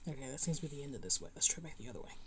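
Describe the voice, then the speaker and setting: A woman's voice: very deep voice, very animated, rapid-fire. A woman giving casual livestream commentary on a video game, with dry, muttered asides. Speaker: game streamer. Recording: faint background noise.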